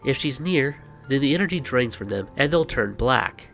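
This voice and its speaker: A man with a mid-pitched voice presents a scripted explainer. He is wry and sarcastic, dropping exasperated asides like a host in a back-and-forth. Music plays lightly behind him. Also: lively intonation, moderate pace, vocal fry, a bit bright. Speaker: video host. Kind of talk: scripted explainer narration with banter. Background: background music.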